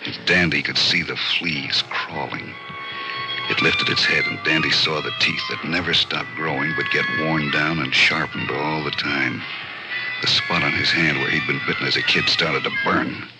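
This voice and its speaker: A man narrating an old radio thriller in a grim, suspenseful, deliberate manner. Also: very deep voice, steady tone, poor audio. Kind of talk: dramatic scripted narration, 1940s-50s radio thriller. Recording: old radio recording, loud music behind.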